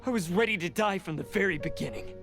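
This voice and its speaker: A man's voice: sits high, fast talker, over music. An actor playing a proud, desperate young male oni warrior in a game, speaking intensely and defiantly. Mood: bitter. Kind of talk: game cutscene voice acting.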